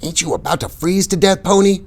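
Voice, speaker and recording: A man's voice: high voice, fairly quick, voice pushed up. A man voicing a tough, edgy teenage boy from a street gang.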